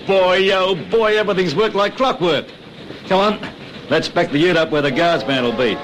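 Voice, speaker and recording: An actor playing a bossy, scornful Australian leader of a train robbery gang in a radio drama. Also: male voice, high voice, moderate pace, noisy background, natural voice. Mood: brisk, pleased.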